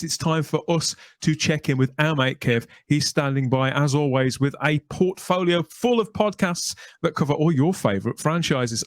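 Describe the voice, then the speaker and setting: A man's voice: on the high side, no room sound, clean studio sound. A man hosting a podcast, interviewing and presenting in a conversational way. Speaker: podcast host.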